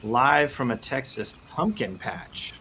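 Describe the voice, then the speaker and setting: A man's voice: mid-range voice, expressive, takes it slowly, bright, forceful tone. A man hosting a casual, jokey live show in a playful, theatrical, rambling way. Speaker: host. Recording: noisy, with nature sounds behind. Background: forest and nature ambience.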